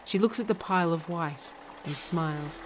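A woman reading scripted narration and stage directions in a measured, deliberate manner. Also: lowish voice, a little hiss or hum, poor audio.

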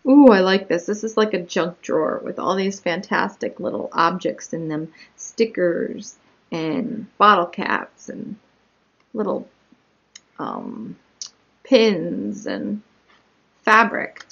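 A woman reading a picture book aloud and commenting on it in an unhurried, gently explanatory manner. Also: mid-range voice, lively intonation, vocal fry, slightly rough, dull audio. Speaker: storyteller, art teacher.

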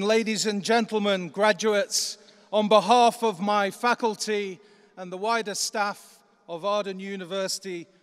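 A man's voice: high voice, clear, resonant tone. A middle-aged man, the vice-chancellor, giving a formal, upbeat ceremony speech at a lectern in a large cathedral. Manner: formal, upbeat, projecting to a crowd. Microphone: lectern microphone. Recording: long cathedral reverb.

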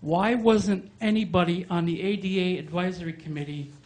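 A man's voice: high-pitched, normal speed, darkish tone. Blunt man with a big voice. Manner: blunt, complaining, speaks into a handheld mic. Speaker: public commenter. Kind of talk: public comment at a town meeting. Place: town hall meeting room. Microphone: handheld microphone.

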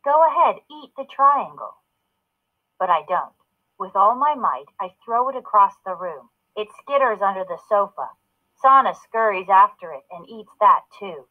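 A woman's voice: mid-range voice, lively intonation, moderate pace. A woman narrating a children's story as a read-aloud, in a narrow phone-like recording.

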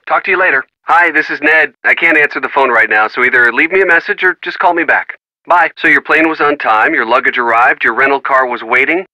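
A man's voice: fairly high pitch, pushed and bright, no echo. A man playing a supportive young boyfriend in a video game, casual, wry and affectionate over a phone line. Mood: teasing, concerned, then upbeat. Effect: telephone filter. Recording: band-limited phone-line sound.

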